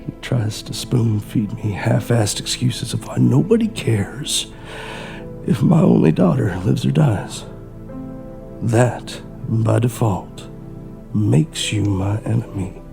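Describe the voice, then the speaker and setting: A man's voice: medium pitch, expressive, slow, gravelly, over music, exaggerated voice. A man playing a weary, embittered, protective father of a sick child in an audio drama, intense and emotional. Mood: bitter, angry. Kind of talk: dramatic audio play acting.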